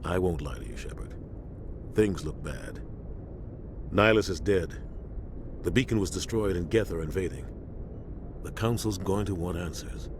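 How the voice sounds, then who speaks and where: An actor playing a seasoned, loyal military starship captain in a video game, grave and measured with authority. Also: male voice, low voice, close to an everyday voice. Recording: clear engine-like ship noise behind.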